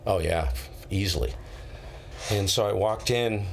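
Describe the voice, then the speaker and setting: A man's voice: fairly low pitch, a little slow, gravelly, a little hiss or hum. A middle-aged American man hosting a live stream, telling rambling anecdotes in a casual, conversational way and acting out bits of dialogue.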